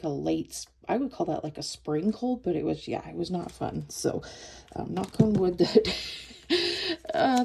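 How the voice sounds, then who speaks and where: A woman's voice: fairly low pitch, gravelly, warm tone, slightly rough. A woman with a stuffed-up nose chatting casually as a budgeting vlogger, counting cash aloud as she goes. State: stuffed up from a cold.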